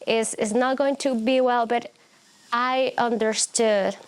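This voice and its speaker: A woman speaking English as a second language, telling a story in a warm, heartfelt conversation and searching for her words. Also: a little high, steady tone, slow, clear, resonant tone. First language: not English. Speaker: guest.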